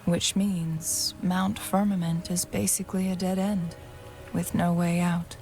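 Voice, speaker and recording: An actress playing a composed, mysterious young woman strategist in a fantasy video game, speaking softly in measured, formal phrases. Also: fairly low pitch, little pitch movement. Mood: grave, composed. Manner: measured, unhurried, formal, soft-spoken. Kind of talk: video game voice acting, storytelling dialogue. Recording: music and rain behind the voice. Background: game music, rain.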